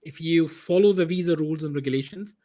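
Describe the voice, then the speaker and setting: A man answering interview questions in a halting way, with many restarts and repeated words. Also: on the high side, mellow. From Egypt. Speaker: business consultant guest.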